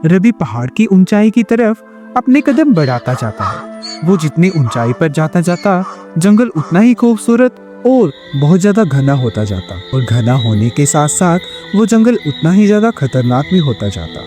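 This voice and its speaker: A man's voice: a little high, big pitch swings, moderate pace, music in the background. A man narrating a scripted moral tale as a storyteller.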